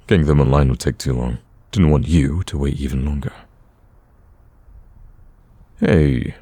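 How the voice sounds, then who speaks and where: Man acting a very deep, husky smitten villain. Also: mellow, heavily acted. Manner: casual, conversational, stammers when flustered. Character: smitten male villain, eager, flustered boyfriend on a date. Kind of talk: romantic ASMR roleplay.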